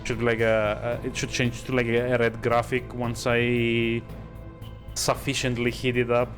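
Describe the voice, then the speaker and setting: A man giving casual, halting live commentary on a video game, sounding sleepy and bored. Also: mid-range voice, completely flat delivery. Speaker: streamer playing a video game. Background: game music.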